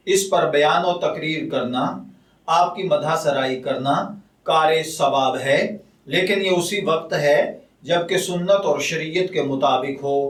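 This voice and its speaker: Slow, formal male religious scholar. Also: fairly high pitch. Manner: measured, formal religious diction. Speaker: religious scholar answering a question. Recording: echoey room, voice a bit far from the mic.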